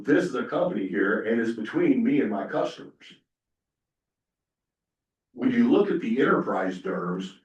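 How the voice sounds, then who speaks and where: A man's voice: medium pitch, dull audio. A man giving a casual conference presentation, talking in a folksy way with lots of fillers. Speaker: conference panelist. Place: conference room. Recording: echoey room, speaker far from mic.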